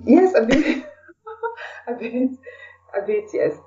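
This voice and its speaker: A woman from Kenya speaking as a podcast guest in a casual, reflective way, often pausing to search for words. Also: on the high side, animated, warm tone, a little hiss or hum. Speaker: interview guest, blogger and podcaster. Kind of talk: casual conversational interview. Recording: distant, dull lo-fi call sound.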